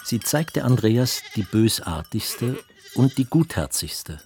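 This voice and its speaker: An actor playing the composed narrator of a literary radio play, reading in a measured way. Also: male voice, mid-range voice, big pitch swings, darkish tone. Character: composed literary narrator of a young man's memories.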